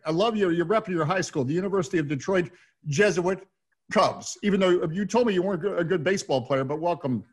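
A middle-aged American man with a fairly high voice hosts an interview over a video call. He speaks like a radio announcer, though he often doubles back on his words. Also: breathy edge. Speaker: host, radio play-by-play announcer. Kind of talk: hosted Q&A interview. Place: indoor room at home, on a video call.

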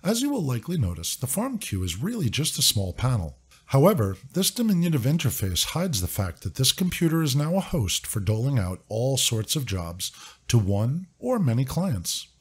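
Man, software tutorial narrator, husky, animated delivery, scripted walkthrough. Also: medium pitch, normal speed. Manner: clear, instructive, upbeat step-by-step. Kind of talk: scripted screen-recording walkthrough.

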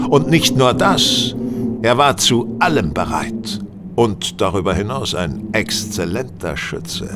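A man playing a confident, brilliant gentleman detective in an audio drama, speaking precisely and courteously, as if lecturing. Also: mid-range voice, heavy background noise. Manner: precise, measured, didactic, courteous. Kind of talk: German audio drama acting.

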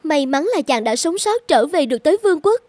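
A woman's voice: high voice, animated, brisk, a little mellow, no echo, clean studio sound. A woman voicing a proud, choosy young princess in a fairy-tale cartoon. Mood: earnest accusing.

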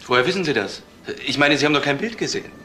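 Sceptical young cop, crime radio drama, talks quick, music behind. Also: male voice, a little high, animated, pushed and bright. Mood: wry, sceptical. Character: brisk, sceptical younger police detective, wry sidekick.

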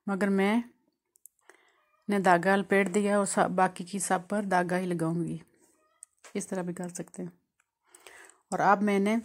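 A woman from Pakistan narrates her home cooking video step by step. Her delivery is calm and explanatory, with a mid-height voice that stays flat and even, barely rising or falling. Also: mid-range voice. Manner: calm, explanatory, walks through each step. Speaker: home cooking channel host.

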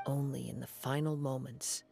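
A man playing a calm, reflective young wandering swordsman in a video game, speaking in a measured, earnest, formal way. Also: medium pitch, creaky, soft background music, natural voice. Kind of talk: gentle video game acting.

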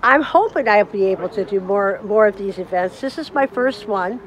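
Halting woman in her sixties. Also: medium pitch, lively intonation, rough recording. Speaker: interviewee, proud mother. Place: outdoors at a red carpet event. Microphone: handheld interview mic.

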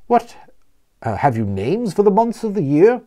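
A man's voice: sits high, very animated, moderate pace, mellow, voice pushed up. A man voicing a curious newcomer, a professor in a storybook, who asks questions in an eager, exclamatory way. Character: curious, impressed male professor, newly arrived guest.

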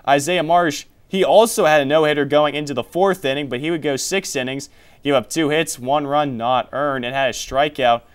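Young guy hosting campus sports tv, voice a bit on the high side, reads off stats and scores. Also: normal speed, clear, resonant tone. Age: young adult. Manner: reads scores and stats, then hands off questions. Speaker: sports show host. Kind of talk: college sports studio talk. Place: small TV studio.

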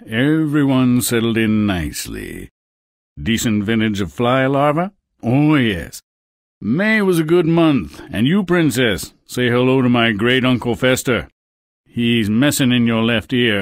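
A man's voice: medium pitch, very animated, slow pace, no echo, clean studio sound. A man voicing a suave, wheedling, theatrical frog who is secretly a king, in a fairy-tale video game. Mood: sardonic. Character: smug, courtly male frog, secretly a king.

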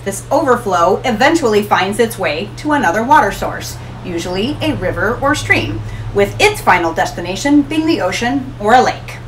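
A middle-aged woman hosting an ocean education channel, talking to camera in a small room with an upbeat, expressive manner. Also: mid-range voice, moderate pace, a little hiss or hum, poor audio. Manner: upbeat, expressive, gestures while explaining. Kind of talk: scripted educational talk to camera. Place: small room in front of a hanging tapestry.

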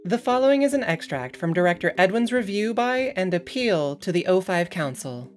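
Casting: woman narrator-host, deep register, for scripted reading and casual asides. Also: lively intonation, normal speed, soft background music.

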